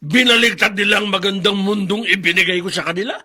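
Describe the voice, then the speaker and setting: A man's voice: high voice, fairly even intonation, quick pace, sharp tone, exaggerated voice. A man with a Filipino accent playing a bitter, unforgiving middle-aged father in a radio drama, indignant and sarcastic.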